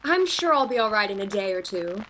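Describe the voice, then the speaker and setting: An actress playing a proud, dreamy young female shop clerk in a radio play, earnest and expressive with a haughty edge. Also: medium pitch, brisk, slight background noise, low-quality recording. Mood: subdued.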